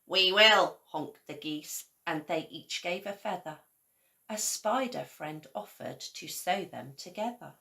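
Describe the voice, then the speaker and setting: A woman reading a children's story aloud in a measured, expressive storytelling manner, like a primary school teacher. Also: sits low, vocal fry.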